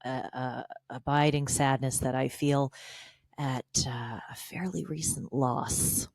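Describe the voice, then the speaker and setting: A woman's voice: rumbling low, fairly even intonation, slow pace, a little mellow, no room sound, studio quality. A woman co-hosting a comedy podcast, chatting casually with crude, self-deprecating jokes. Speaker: podcast co-host.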